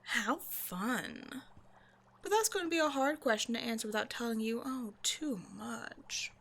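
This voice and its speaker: A woman voicing a cold, century-old female vampire scientist in an audio roleplay, speaking in a dry, measured, condescending way straight to the listener. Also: a little high, creaky, a bit bright, exaggerated voice. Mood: musing. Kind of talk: scripted ASMR roleplay monologue, speaking to a listener.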